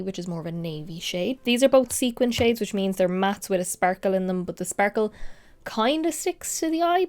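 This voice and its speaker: A young woman talks her way through a makeup look in a relaxed, chatty way. Her voice carries a slight nasal, sniffly edge from a cold she is still getting over, and she slips into soft whispers now and then. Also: mid-range voice. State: congested, recovering from a cold. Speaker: makeup vlogger. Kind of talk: casual makeup tutorial chat.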